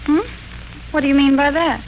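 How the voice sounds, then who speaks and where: An actress playing a breezy, wry grown daughter and aunt in a 1940s radio family serial. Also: female voice, sits high, fairly even intonation, moderate pace, lo-fi sound. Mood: questioning, serious. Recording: noisy 1940s radio recording.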